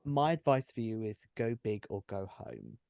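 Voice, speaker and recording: A man's voice: on the low side, moderate pace, darkish tone, smooth. A man who writes and runs an audio drama, chatting casually and effusively, often repeating his phrases. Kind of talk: casual behind-the-scenes chat.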